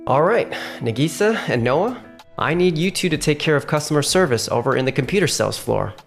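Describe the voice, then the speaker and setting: A man's voice: fairly high pitch, animated, vocal fry, soft background music. Composed male sales manager, voiced by an actor. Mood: businesslike. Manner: measured, businesslike. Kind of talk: manga dub acting and narration.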